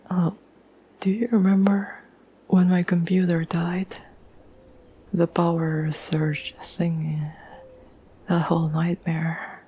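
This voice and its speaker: A woman playing a caring, tender girlfriend in an intimate ASMR roleplay, speaking in a soft, breathy whisper with gentle, halting pauses. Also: lowish voice, exaggerated voice, rough recording. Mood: tender, earnest. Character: caring, tender girlfriend reassuring her troubled partner.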